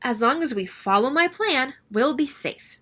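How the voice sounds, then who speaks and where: A woman's voice: high-pitched, lively intonation, moderate pace, pushed and bright, pitched above the performer's own voice, full character voice. A woman voicing a male owl in a children's story, a bossy but reassuring planner who speaks in an emphatic, instructive way.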